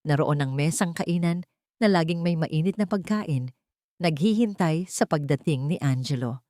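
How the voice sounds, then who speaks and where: Low-pitched woman narrating drama. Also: normal speed, mellow, no room sound, studio quality. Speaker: story narrator. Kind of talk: dramatic scripted narration of a love story.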